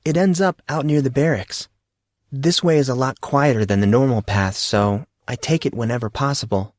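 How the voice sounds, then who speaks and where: A man voicing a guarded teenage girl with a light, calming, slightly nervous tone in an audio story. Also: mid-range voice, normal speed, dry recording, clean studio sound, pitched above the performer's own voice, lightly acted. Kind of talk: audio drama character dialogue.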